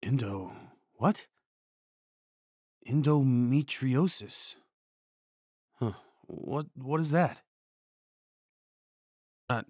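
Guy doing asmr boyfriend comfort roleplay, slow and breathy, soothing, worried, really close dry mic. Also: mid-range voice, dry recording. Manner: hushed, soothing, breathy sighs and shushing. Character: tender, worried boyfriend comforting his partner. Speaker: ASMR audio roleplay performer.

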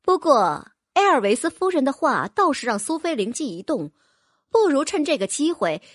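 Lady reading a fantasy audiobook, high bouncy voice. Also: normal speed, dry recording, studio quality. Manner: expressive storytelling. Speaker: audiobook narrator. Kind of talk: scripted novel narration.

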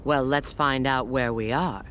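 A woman voicing a confident, sultry female bat spy in a video game, coy and unhurried. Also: rumbling low, a bit bright, a little hiss or hum. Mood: composed. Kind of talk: video game cutscene acting. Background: background music.

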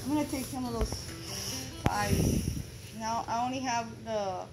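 A woman's voice: on the high side, normal speed, loud music behind the voice, low-quality recording. A woman chatting casually while a cartoon plays. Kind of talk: casual chat while watching a cartoon with a child. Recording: cartoon soundtrack playing behind, home recording.

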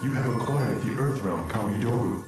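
An actor playing a stern, commanding spirit guide in a video game, solemn and formal. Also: male voice, lowish voice, expressive, fairly quick, lightly acted. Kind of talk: video game cutscene acting. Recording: echoing voice under loud game music.

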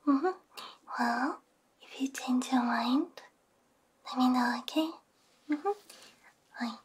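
A young woman performing a gentle, soothing ASMR roleplay in a hushed whisper with soft hums, close on a binaural microphone. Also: high voice, slow pace, slight background noise.

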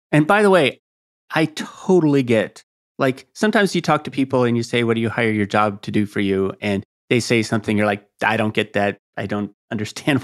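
Curious male podcast host. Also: medium pitch. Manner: curious, probing questions. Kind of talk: conversational interview.

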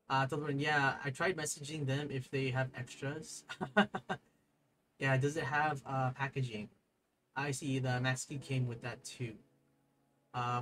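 A young man chatting casually on a live stream at his desk, rambling and excitable, reading chat aloud and laughing often. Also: medium pitch, slight background noise, low-quality recording. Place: desk at home, overhead camera.

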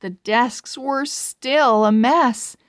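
A woman reading a children's storybook aloud in an expressive, deliberate way. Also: high voice, no room sound, clean studio sound. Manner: expressive, deliberate word-by-word delivery. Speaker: storybook reader. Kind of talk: children's read-aloud narration.

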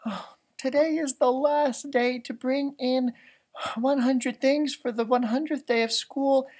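A man's voice: very high voice, fairly even intonation, unhurried, a little mellow, pitched above the performer's own voice. A man voicing an anxious, fretful little schoolgirl in a storybook. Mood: tearful worry. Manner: fretful, whiny.

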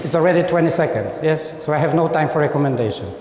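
A man's voice: fairly high pitch, expressive, fast, darkish tone. A man, giving a conversational lecture and acting out quoted diplomats. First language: Polish. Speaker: lecturer on human rights. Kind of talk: informal lecture. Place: lecture hall with seated audience. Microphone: lapel mic. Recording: echoey hall.

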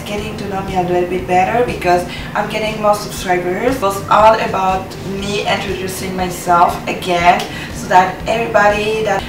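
A young woman from Cameroon whose first language is French, chatting casually to camera as a fashion vlogger, filmed in her apartment living room. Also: medium pitch. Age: young adult. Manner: chatty, lots of fillers, laughs at herself. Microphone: far camera. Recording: roomy echo, distant mic, background noise.

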